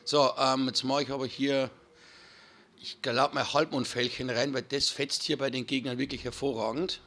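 A hoarse man, chatting casually to viewers while commentating his own gameplay. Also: medium pitch, little pitch movement, normal speed, fairly bright tone. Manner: chatty, addresses viewers as friends. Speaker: gaming channel host. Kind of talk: casual let's-play commentary.

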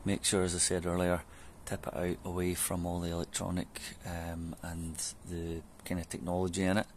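A man's voice: low-pitched, monotone, moderate pace, a little hiss or hum. A man, chatting loosely with many ums through a how-to vlog in his back garden, picked up by a camera mic. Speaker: home DIY vlogger. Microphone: camera mic on a fixed camera.

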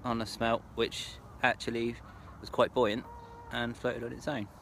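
A young man giving brisk, matter-of-fact commentary outdoors by a lake, filmed on a phone at arm's length. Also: medium pitch, flat delivery, vocal fry, a bit bright. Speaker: angler vlogger. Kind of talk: casual outdoor vlog. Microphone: phone camera at arm's length. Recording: outdoor noise with birds honking.

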